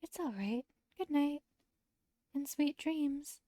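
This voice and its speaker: A streamer putting on a gentle young woman from a visual novel, pitched high and politely formal. She sounds soft-hearted and earnest, in a close, dry recording. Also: takes it slowly, no echo. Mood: gentle, hesitant.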